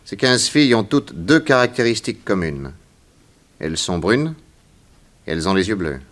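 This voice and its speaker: A fairly low male voice. a shrewd private detective in a radio serial, genial and self-assured, reasoning aloud in a conversational way. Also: animated, normal speed, a bit bright. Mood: pondering, assured. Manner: conversational, analytical. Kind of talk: French radio drama acting.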